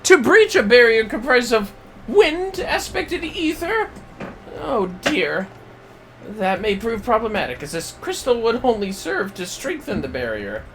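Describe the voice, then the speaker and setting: A man's voice: very high voice, very animated, unhurried, a bit bright, slight background noise, pitched above the performer's own voice, heavily acted. A man voicing a fussy, boastful scholar in a video game, with pompous, wordy, theatrical delivery. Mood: flustered, insistent. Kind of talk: reading game dialogue aloud in character.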